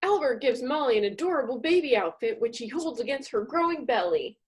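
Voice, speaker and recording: A woman narrating a comedy script with wry asides. Her voice sits very high and moves around with lots of melody. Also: lively intonation, a little fast, husky.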